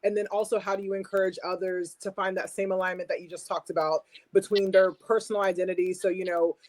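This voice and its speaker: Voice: medium pitch, level tone, fast talker, darkish tone. A podcast host encouraging the guest and asking long questions with several parts. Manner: encouraging, asks long multi-part questions. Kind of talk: interview questions.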